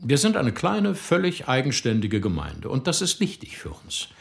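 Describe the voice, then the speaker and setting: An actor playing a self-assured village leader in a radio play, speaking in a lofty, preaching manner that drops to a hush. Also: male voice, mid-range voice, wildly swooping pitch, normal speed, gravelly. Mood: persuasive. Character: smooth-talking village leader, older man, self-assured.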